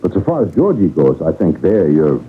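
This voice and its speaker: A man's voice: sits low, very animated, normal speed, husky, full character voice. An actor playing an obliging young railroad man, earnest and deferential, in an old-time radio drama. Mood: earnest, eager. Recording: tinny, narrow radio drama recording.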